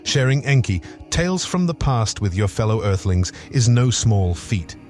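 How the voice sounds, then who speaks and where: A man's voice: fairly low pitch, big pitch swings, normal speed, a little mellow, faint music behind. A man hosting his own podcast, reading a scripted promo with earnest, grandiose cosmic phrasing. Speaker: podcast creator and host.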